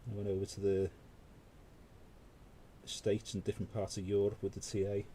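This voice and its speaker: A man's voice: lowish voice, monotone, moderate pace, warm tone, a little hiss or hum. A British man hosting a paint-along stream, chatting casually with rambling asides. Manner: rambling, conversational, full of asides. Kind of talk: casual chat while painting.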